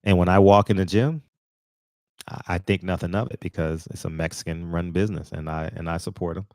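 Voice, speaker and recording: Deep-voiced man rambling in a dry room. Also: steady tone, a little fast, darkish tone, no echo. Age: 40s. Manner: rambling, with many fillers and restarts. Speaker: channel host. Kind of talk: casual commentary monologue.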